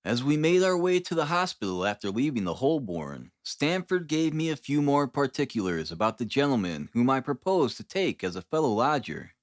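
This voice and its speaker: A man's voice: mid-range voice, very animated, normal speed, hardly any pauses, no room sound, clean studio sound. A man reading scripted literary narration as an audiobook narrator.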